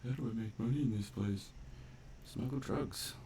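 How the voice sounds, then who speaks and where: A man's voice: mid-range voice, normal speed, dark tone, a little hiss or hum, poor audio. A man giving casual gameplay commentary, thinking aloud in a laid-back, colloquial mutter. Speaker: gameplay video host.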